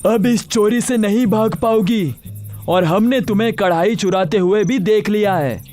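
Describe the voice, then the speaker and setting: A man's voice: high-pitched, measured pace, darkish tone, faint music behind. A man playing a stern, righteous village headman in a story, declamatory and scolding.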